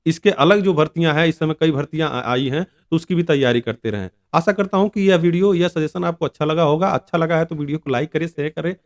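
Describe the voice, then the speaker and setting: Higher-pitched man giving informal advice. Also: quick pace, hardly any pauses. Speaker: YouTube exam-advice host. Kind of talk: informal explanatory talk to viewers.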